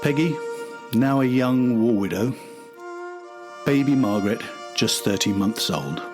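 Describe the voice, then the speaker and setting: A man's voice: medium pitch, lively intonation, measured pace, music in the background. An older man narrating a documentary from a script.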